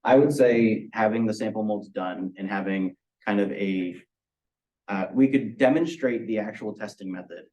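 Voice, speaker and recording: A young man, a university student, explaining technical work in measured answers during a project review. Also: fairly low pitch, darkish tone, poor audio. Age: young adult.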